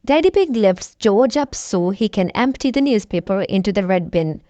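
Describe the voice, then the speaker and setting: A woman reading a bedtime story aloud for young children in a simple, deliberate storytelling manner. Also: medium pitch, animated, warm tone, no room sound.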